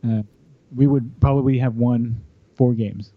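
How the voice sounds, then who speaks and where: A man from Austin, Texas, in casual sports chat, halting and repeating words as he builds a point. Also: mid-range voice, fairly even intonation, no room sound. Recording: dull, dry lo-fi audio.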